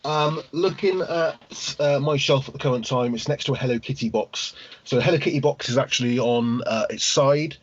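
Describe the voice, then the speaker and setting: A man co-hosting a casual gaming podcast, husky, rambling and qualifying his points as he goes. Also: medium pitch, normal speed. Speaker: podcast co-host, game collector. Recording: slightly muffled podcast audio.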